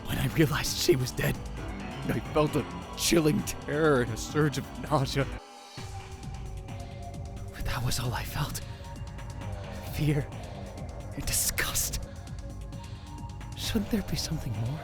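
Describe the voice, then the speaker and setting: A man's voice: mid-range voice, slow pace, long pauses, over music. A man voicing a young male student shaken by a murder, narrating a visual novel in a tense first-person inner monologue that often drops to a whisper. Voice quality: husky. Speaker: narrator and lead voice in a fan dub.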